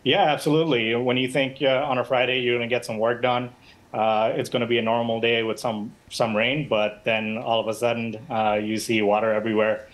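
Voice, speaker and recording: A man's voice: medium pitch, flat delivery, fairly quick. A man from Bangladesh speaking as an eyewitness guest in a live news interview, talking casually with many fillers over a remote link. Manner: casual, conversational, frequent fillers and restarts.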